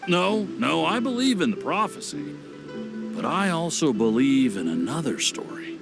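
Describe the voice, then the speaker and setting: An actor voicing a calm, dreamy man in a game cutscene, musing slowly. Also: a little high, over music. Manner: slow, musing, gravelly. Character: calm, dreamy man who is at peace with the flood. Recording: game score underneath.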